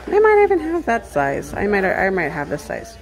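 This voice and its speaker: A woman's voice: medium pitch, wildly swooping pitch, normal speed, a crowd in the background, poor audio. A woman vlogger giving casual commentary as she shops, half murmuring to herself while browsing. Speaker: vlogger, antiques reseller. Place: house and garage at estate sales. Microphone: handheld phone or camera.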